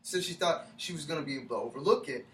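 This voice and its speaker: A man reading the part of a sheepish, defensive young man in a staged play, halting and repeating himself. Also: a little high, a bit bright, lightly acted. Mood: sheepish, wounded. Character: Chad, hapless, sheepish young man defending his awful date. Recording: echoey room from far off, faint noise.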